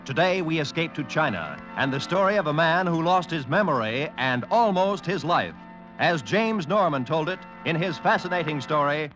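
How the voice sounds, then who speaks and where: A man's voice: a little high, lively intonation, normal speed, a bit bright, low-quality recording. A man announcing a radio drama in a scripted 1940s style. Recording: band-limited old radio recording. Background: music.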